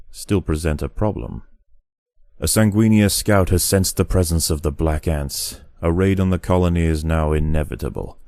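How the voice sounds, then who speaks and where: A man delivering scripted nature documentary narration in a solemn, deliberate, dramatic manner. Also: low voice, mellow, no room sound, studio quality. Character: grave, measured male nature documentary narrator.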